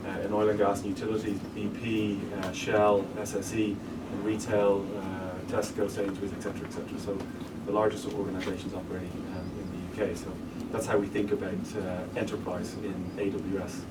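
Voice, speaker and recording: An Irish man with a lowish voice explains his work as a guest speaker, steady and businesslike, often restarting mid-sentence. He sounds set back from the microphone, with a clear hum of room noise underneath. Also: a little fast, hardly any pauses. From Ireland. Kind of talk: interview talk to a live audience. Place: event room with an audience. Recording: distant mic with room noise.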